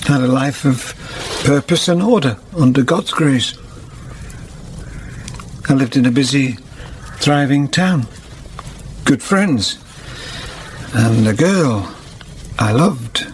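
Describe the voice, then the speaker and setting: An actor playing a solemn, wounded middle-aged former hermit, speaking gravely and reflectively in a period radio drama. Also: male voice, mid-range voice, expressive, slow, long pauses, a little hiss or hum. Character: solemn, wounded older weaver, once a hermit.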